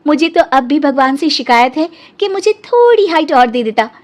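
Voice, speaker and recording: A woman's voice: high voice, animated, moderate pace, darkish tone, pitched above the performer's own voice. A woman voicing a proud, self-assured young daughter-in-law in a cartoon story. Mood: affronted.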